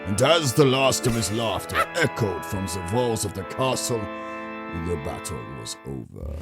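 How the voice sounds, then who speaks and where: A man's voice: fairly low pitch, very animated, a little slow, gravelly, music in the background, full character voice. A man acting as a grave, theatrical storyteller narrating a gothic horror tale. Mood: ominous, dramatic. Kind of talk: dramatic scripted narration.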